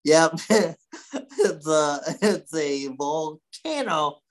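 Low, slow-talking woman co-host. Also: big pitch swings. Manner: short agreeing replies, laid-back. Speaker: podcast co-host. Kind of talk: casual chat.

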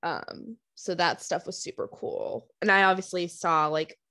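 A woman's voice: on the low side, a little slow. A young adult woman from the United States co-hosting a podcast, chatting casually with lots of "like" and "um". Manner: relaxed, fills with "like" and "um". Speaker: podcast co-host. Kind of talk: casual chat.

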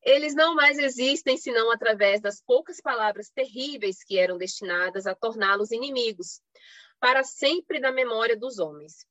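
A woman's voice: on the high side, normal speed, fairly bright tone, dry recording. A woman with a Brazilian Portuguese accent giving an explanatory academic talk online, often posing rhetorical questions and checking in with short tags. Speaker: academic panelist giving a presentation. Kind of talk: academic online lecture with slides, semi-improvised. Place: online live stream. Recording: slightly dull lo-fi online call audio.

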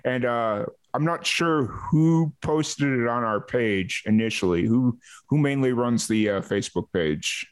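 Guy hosting a laid-back music interview, mid-pitched voice, stumbles and repeats words a bit. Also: unhurried, clear, resonant tone. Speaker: host.

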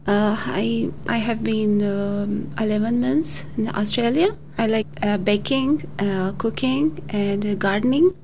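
A woman speaks very slowly and pauses often on fillers. Her voice is mid-pitched over a faint background noise. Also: a little mellow. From Pakistan. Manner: hesitant, many fillers. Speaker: adult English learner. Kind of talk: personal introduction.

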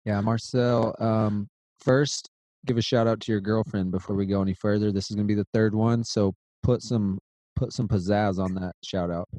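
A man's voice: on the low side, steady tone, normal speed, mellow, no room sound. A man hosting a podcast, introducing a guest with playful, hyped-up banter. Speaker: podcast host. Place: Redding, California.

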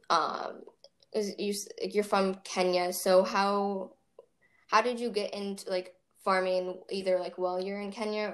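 Medium-pitched woman hosting a podcast. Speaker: podcast host. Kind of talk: casual conversational interviewing.

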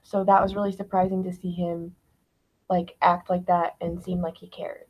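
A woman's voice: fairly low pitch, flat delivery, moderate pace, slightly rough, highs cut off. A teenage girl chatting casually as a podcast guest, peppering her talk with "like". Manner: uses "like" constantly. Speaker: student actor, podcast guest. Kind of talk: casual chat.

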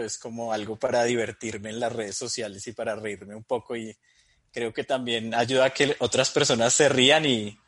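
A man's voice: medium pitch, no echo. A man in his thirties, a Bible teacher, telling stories as a guest in a casual interview, often chuckling as he talks. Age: 30s. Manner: relaxed storytelling, chuckles mid-sentence.

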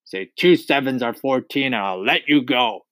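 A man's voice: mid-range voice, lively intonation, moderate pace, a bit bright, no room sound, pitched above the performer's own voice, lightly acted. A man voicing a huge, bullying headmistress from a children's storybook, barking with contempt for small children. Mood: furious, bellowing.

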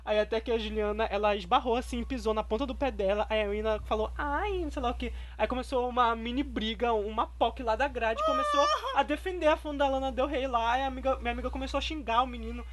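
A young woman co-hosts a relaxed chat show and races through her anecdotes. Her voice sits slightly high and the words come fast and loose, full of casual slang. She keeps the story moving with little pause. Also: a little hiss or hum. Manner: rapid, slangy, story-driven. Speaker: podcast co-host.

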